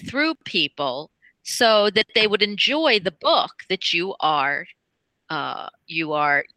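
Lively, bright, scratchy woman host. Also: on the low side, takes it slowly, dry recording. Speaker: radio show host. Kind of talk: enthusiastic chat.

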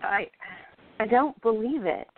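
A woman's voice: fairly high pitch, animated, unhurried. A woman acting a jealous, guarded and easily wounded young actress in an amateur radio play. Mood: hesitant, awed. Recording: thin, phone-like band-limited audio.

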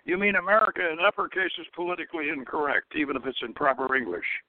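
A narrow, radio-like sound carries a young male prisoner, as a man reads him from a novel. He is defiant and wry, muttering stubborn replies at a slightly raised pitch. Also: lively intonation, fast, close to an everyday voice.

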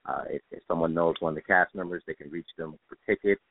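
A man speaking as an interview guest, casual and informative, with halting delivery. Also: low-pitched, a little hiss or hum. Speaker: guest, stage actor. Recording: roomy tinny phone line.

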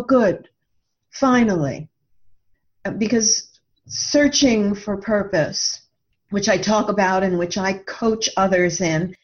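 A woman speaking as an interview guest, telling her story in a reflective, conversational way. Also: mid-range voice. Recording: slightly muffled lo-fi audio.

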